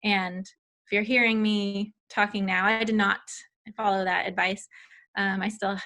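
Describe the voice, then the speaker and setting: A woman talking frankly to a gathering about her own path as a founder. Her voice runs rather high for her, and she leans on fillers and small restarts as she goes. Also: level tone, measured pace, pushed and bright. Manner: candid, filler-heavy speech. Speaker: company founder. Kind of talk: personal talk to an audience.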